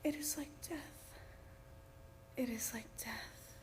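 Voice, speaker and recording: Actress as troubled young woman, very high voice, halting trancelike delivery, vampire radio drama. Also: whispered. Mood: entranced. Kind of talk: old-time radio drama acting.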